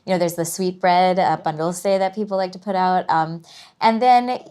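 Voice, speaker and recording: A woman's voice: lowish voice, steady tone, normal speed, darkish tone, smooth. Woman in her 30s explaining stuff, lots of ums, friendly. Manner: friendly, explanatory, frequent laughs and fillers. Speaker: museum curator guest. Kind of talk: casual interview chat.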